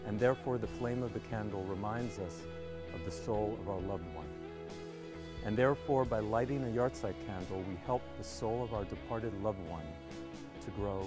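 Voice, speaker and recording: A man's voice: lowish voice, moderate pace. A man speaking as a theatre director, guiding a group of actors through their work. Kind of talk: guiding talk to cast. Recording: music behind the voice.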